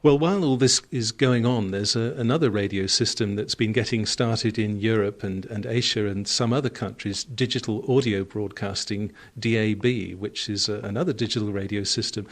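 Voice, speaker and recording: Man presenting radio, fairly low voice. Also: normal speed, hardly any pauses. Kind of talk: conversational interviewing on a technology radio show.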